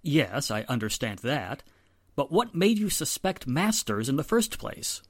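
Earnest police inspector played by a man, mid-range voice, very expressive, dry studio sound, vintage radio crime drama. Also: mid-range voice, unhurried, dry recording. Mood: curious. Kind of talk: 1940s-style radio drama acting.

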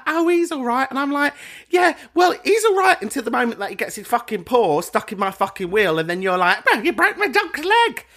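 Male podcast host, lively pitch, jokey and profane. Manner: swears a lot, jokey, swings pitch widely for effect. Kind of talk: casual chat reading voicemails.

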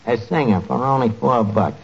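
A man's voice: medium pitch, moderate pace, husky. An actor playing a sour, streetwise, wisecracking male tavern manager with slangy speech in a 1940s radio comedy. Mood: suspicious. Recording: muffled, noisy 1940s radio recording.

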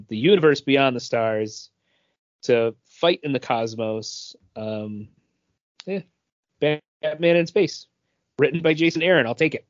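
A man's voice: medium pitch, no echo, dull audio. A man co-hosting a podcast, casually previewing a comic book.

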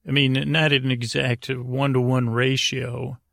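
A man hosting a sleep podcast in a halting, meandering, goofy and self-deprecating monologue, with lulling delivery and a gravelly voice. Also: mid-range voice, level tone, a bit bright, dry recording, clean studio sound. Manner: meandering, halting, stumbling over words. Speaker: podcast host. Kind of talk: rambling bedtime monologue to help listeners sleep.

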